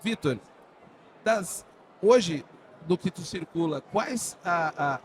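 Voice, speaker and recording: Brazilian podcast host, rambling questions, slightly high voice, audible noise behind. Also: male voice, animated, slow pace. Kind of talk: podcast interview. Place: studio setting.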